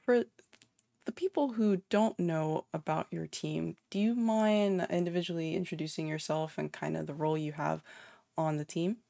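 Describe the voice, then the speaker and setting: A woman from North America hosting an online interview, asking friendly, measured questions with a few fillers. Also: low voice, steady tone, gravelly, dark tone, no echo, studio quality.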